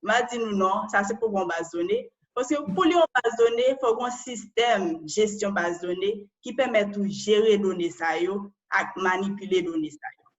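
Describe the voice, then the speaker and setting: A woman's voice: mid-range voice, normal speed, highs cut off. A Haitian woman and data analyst giving an online presentation, explaining step by step and often ending phrases with a questioning tag.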